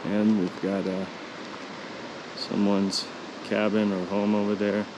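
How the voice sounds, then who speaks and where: A man casually narrating his mountain hike outdoors in a relaxed, unhurried way, with a few fillers. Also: on the low side, background noise. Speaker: hiking vlogger. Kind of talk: casual narration of a hiking trip.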